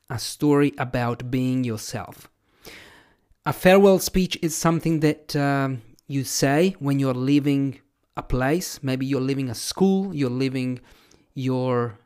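A man in his thirties, a native Italian speaker, hosting a podcast and telling a personal story in a relaxed, measured way. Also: on the high side, smooth. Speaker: podcast host and English teacher.

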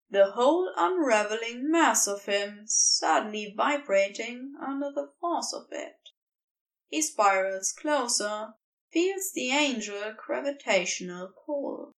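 A woman reading a romantic story as scripted narration, tender and unhurried in her storytelling, a little away from the mic. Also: a little high, recorded from a distance, rough recording. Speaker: amateur fan fiction narrator.

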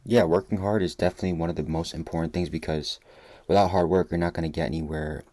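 Very deep-voiced male interviewer. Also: fairly even intonation, fast talker, a little mellow, no room sound. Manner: casual, affirming, sums up answers before asking. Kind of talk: interview questions.

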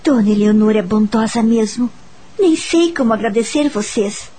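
A woman's voice: on the high side, animated, normal speed, mellow, breathy edge. An actress with a Brazilian accent playing a polite, timid young woman teacher who narrates her own story in a radio soap opera.

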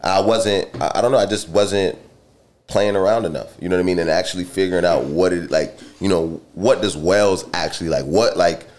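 A man in his twenties from Charlotte with a naturally raspy voice, talking casually and slangily as an interview guest. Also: low voice, fairly quick, a little mellow. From Charlotte, North Carolina. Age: 20s. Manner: laid-back, slangy, repeats "you know what I'm saying". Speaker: rapper guest.

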